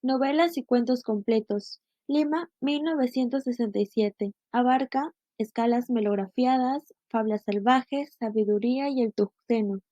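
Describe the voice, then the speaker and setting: High-voiced woman reading, mellow and dry. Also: a little slow, hardly any pauses, dry recording. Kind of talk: read narration. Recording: dry, dull lo-fi recording.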